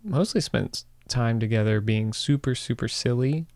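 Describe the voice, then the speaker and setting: A man's voice: mid-range voice, moderate pace, darkish tone, dry recording. A man in casual, easygoing conversation, often chiming in with brief reactions. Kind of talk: casual chat.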